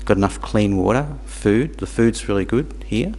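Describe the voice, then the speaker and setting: A man born in Tanzania, teaching Buddhism to a small group in a halting, repetitive way, with questions for the audience. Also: on the low side, lively intonation, darkish tone. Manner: halting, repeats words, asks the audience questions. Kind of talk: dharma talk to a small group.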